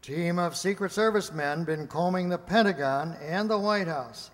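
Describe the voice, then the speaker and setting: An earnest, harried official, acted by a man, with a high male voice. He delivers his reports deliberately in radio-play style, in a hall with long reverb. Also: lightly acted. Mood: sober. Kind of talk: comic science-fiction radio play acting.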